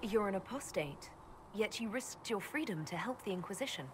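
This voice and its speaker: A woman's voice: medium pitch, lively intonation, moderate pace, close to an everyday voice. A woman voicing a young, curious and protective elven mage in a video game, speaking in a composed, direct, earnest way. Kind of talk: video game dialogue acting. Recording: clear ambient noise behind game dialogue.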